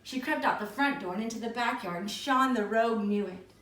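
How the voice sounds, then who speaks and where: A woman with a high voice narrates a children's story expressively, as if to a class. She sounds slightly away from the microphone in an echoey room. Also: brisk, fairly bright tone, far from the mic. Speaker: storybook reader for schoolchildren. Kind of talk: expressive children's read-aloud.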